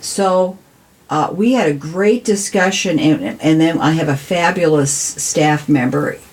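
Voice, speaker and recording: Woman, American, deep-voiced, earnest local-politician type explaining things conversationally. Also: measured pace, a little mellow, low-quality recording. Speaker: city council member, podcast guest. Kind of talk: conversational interview.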